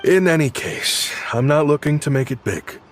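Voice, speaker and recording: A man playing a kindly, earnest guardian of children in a video game, gentle and sincere. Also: a little high, normal speed, slight background noise, natural voice. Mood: calm, caring.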